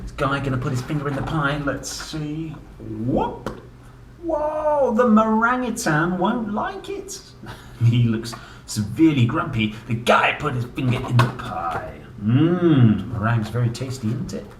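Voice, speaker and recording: Man, children's storybook reader, very lively pitch, unhurried pace, theatrical, playful, questioning, distant noisy recording. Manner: theatrical, exclaiming, asking the listener questions. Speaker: children's book reader and host. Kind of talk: playful storybook read-aloud for young children. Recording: roomy, distant mic, clearly noisy.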